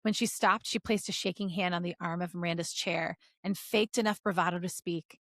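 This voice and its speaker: A woman's voice: lowish voice, fairly even intonation, a little fast, a bit bright, dry recording, clean studio sound. A woman podcast co-host reading fan fiction aloud in a giggly, joking way with casual asides.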